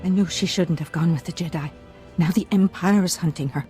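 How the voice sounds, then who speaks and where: A woman playing a worried, embittered mother and castle servant in a video game, speaking gravely and with anxious emphasis. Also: fairly low pitch, brisk, warm tone, soft background music, natural voice. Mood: bitter regret. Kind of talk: video game dramatic acting.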